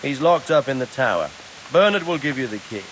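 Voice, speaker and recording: A man's voice: medium pitch, expressive, a little fast, fairly bright tone, close to an everyday voice, poor audio. Guy as bossy practical medieval lord in a game, mid voice, bit of rainy hiss. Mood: brisk, commanding. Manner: authoritative. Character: commanding male medieval lord, gruffly practical. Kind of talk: video game cutscene acting.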